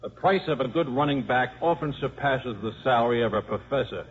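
A man's voice: mid-range voice, fairly quick, natural voice. An actor playing a cynical, sardonic American ex-football coach and father in a 1950s radio drama. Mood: bitter. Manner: wry, sardonic. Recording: muffled, noisy 1950s radio recording.

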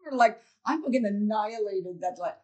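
A woman with a deep voice, talking in a blunt, rambling way as a podcast guest, swearing freely. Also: expressive, moderate pace, mellow. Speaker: guest comedian. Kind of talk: casual podcast chat.

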